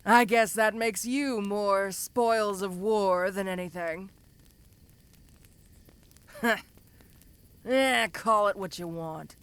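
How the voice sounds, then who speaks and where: A woman's voice: medium pitch, slow pace, clean tone, no room sound, natural voice. A woman voicing a tough, domineering giantess ogre in a fantasy audio roleplay, talking to the listener in a casual, teasing, condescending way. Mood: smug, explaining.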